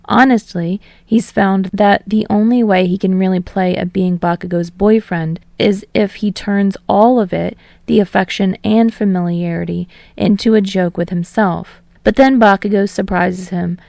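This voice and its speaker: Creaky-voiced woman narrating fiction. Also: mid-range voice, fairly even intonation, moderate pace, few pauses, a little mellow, no room sound, clean studio sound. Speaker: audiobook narrator.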